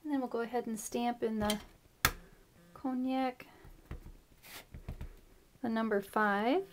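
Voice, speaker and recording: A chatty woman hosting a craft tutorial at her work table, her voice pitched a touch high and slightly rough. She explains each step in a relaxed, friendly way with plenty of fillers. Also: takes it slowly, a little hiss or hum. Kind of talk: casual stamping tutorial.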